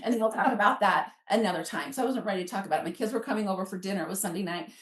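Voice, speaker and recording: A woman's voice: medium pitch, rapid-fire. A middle-aged woman chatting casually as a podcast guest, telling her own story and acting out remembered dialogue. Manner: animated retelling with quoted dialogue. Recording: roomy, echoing room sound.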